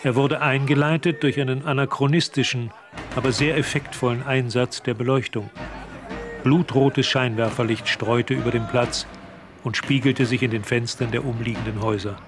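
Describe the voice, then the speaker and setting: A man narrating a radio drama in a measured, literary storytelling manner. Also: mid-range voice, steady tone, a crowd in the background, noisy background, close to an everyday voice. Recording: loud music and street sounds behind.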